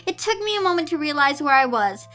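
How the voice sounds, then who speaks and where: A woman's voice: high-pitched, big pitch swings, fast, sharp tone, exaggerated voice. A woman reading a story aloud as a depressed, sarcastic young girl narrating her own misery. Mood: miserable. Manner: self-pitying, sarcastic. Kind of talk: amateur dramatic story reading.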